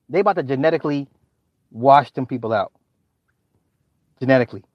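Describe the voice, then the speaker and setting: A man's voice: mid-range voice, dry recording. Brief: a ranting man, slangy and repetitive, dry lo-fi recording. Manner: repetitive, slangy, stumbling restarts. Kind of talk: profane comedic rant.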